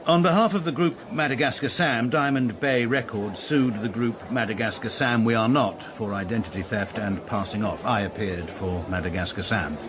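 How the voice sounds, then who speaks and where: An actor playing a pompous, precise middle-aged English barrister, narrating his own life deadpan in an upper-class accent for a radio comedy drama. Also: fairly low pitch, moderate pace, hardly any pauses, noisy background, natural voice.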